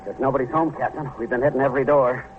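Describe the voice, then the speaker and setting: A man playing a dutiful, brisk policeman with a clipped manner, in 1950s radio crime drama style. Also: mid-range voice, fairly even intonation, close to an everyday voice. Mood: businesslike, urgent. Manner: clipped, deferential to superior. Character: dutiful, brisk city policemen answering their captain. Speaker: police officer. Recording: very noisy, tinny old radio recording.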